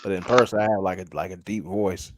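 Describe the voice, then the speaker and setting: A man with a slightly raspy voice joining a casual livestream chat, making quick mocking remarks. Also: low-pitched, steady tone, background noise. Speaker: stream guest.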